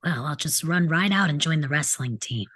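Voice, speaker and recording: A woman's voice: sits low, expressive, normal speed, a bit bright, husky, no room sound, studio quality, full character voice. A woman playing a sarcastic, rebellious teenage boy in a script table read, with a mocking, sardonic delivery. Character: sarcastic rebellious male teenage troublemaker, taunting and cynical.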